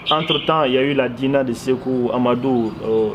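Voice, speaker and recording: A man's voice: medium pitch, a little fast, muffled recording. A man with a Malian accent giving earnest, explanatory interview answers, often repeating words for emphasis. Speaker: interviewee, commentator. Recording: clearly noisy background ambience.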